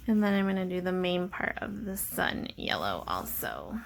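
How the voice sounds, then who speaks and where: A woman's voice: fairly low pitch, huge pitch swings, normal speed, gravelly, slight background noise. A woman teacher talking children through an art activity step by step, in simple explanatory language. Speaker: teacher leading a drawing lesson. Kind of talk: step-by-step instructional narration for children.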